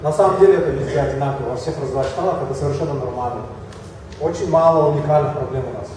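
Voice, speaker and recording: A man with a Kyrgyz accent, slightly hoarse from a cold, giving a halting conference talk with slides. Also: a little high, noisy background. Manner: halting, many fillers and restarts. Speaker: conference speaker. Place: large echoey hall. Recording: echoey hall from far off.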